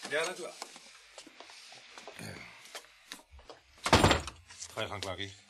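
An actor in a Dutch radio play playing a calm, dogged police sergeant, polite but insistent as he questions a suspect. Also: lowish voice, racing, heavy background noise. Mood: businesslike.

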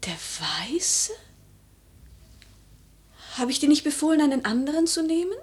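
A woman playing a wistful, proud noble widow in a German literary radio play, measured and poetic with hushed, breathy phrases. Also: sits high, sing-song. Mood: imperious, restless.

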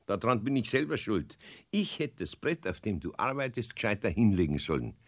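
A man with a Bavarian accent playing a grumbling but good-hearted older master craftsman in a 1970s children's radio play, gruff and folksy. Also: fairly low pitch, big pitch swings, brisk. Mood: kindly, reassuring.

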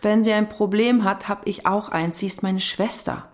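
A woman's voice: medium pitch, fairly even intonation, normal speed, lightly acted. An actress playing a blunt, self-mocking working-class cleaning woman in a naturalistic radio drama. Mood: weary. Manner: blunt, plain-spoken, colloquial.

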